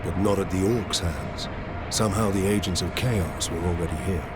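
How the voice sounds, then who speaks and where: An actor playing a stoic, resolute space marine captain in a video game, with measured authority. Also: male voice, low voice, lightly acted. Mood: grave, pensive. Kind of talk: dramatic video game acting. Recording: loud music and battle noise behind.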